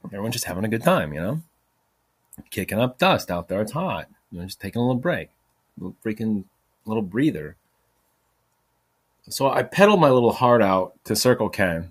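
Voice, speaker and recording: A man's voice: lowish voice, sing-song, a little fast, long pauses, breathy edge. A man in his forties telling a rambling, casual story, chatty and self-mocking, with some swearing. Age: 40s. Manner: chatty, profane, self-mocking, drifts off topic. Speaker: podcast host.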